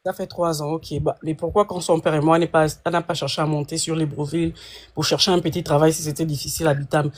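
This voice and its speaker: A man's voice: on the high side, level tone, fast. A man asking questions as a participant on a live call-in panel.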